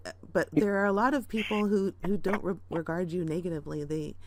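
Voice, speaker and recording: A woman caller chatting casually on the phone, halting and amused, with a giggly way of talking. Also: fairly low pitch, heavy background noise.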